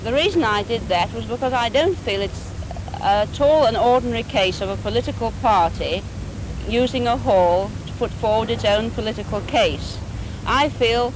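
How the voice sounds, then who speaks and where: A woman councillor giving a formal, measured and articulate political answer in an interview. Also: on the high side. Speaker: city councillor. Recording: noisy 1950s archive film sound.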